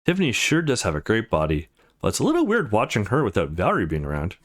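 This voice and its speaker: Man reading expressive, self-assured visual novel hero. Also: fairly low pitch, fast talker. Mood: relieved, musing. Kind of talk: reading game narration and dialogue.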